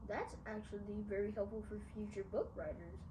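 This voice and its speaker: A child of about ten asking prepared interview questions and reacting in an earnest, halting way. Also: mid-range voice, steady tone. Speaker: student interviewer. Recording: echoey, distant mic, noisy room.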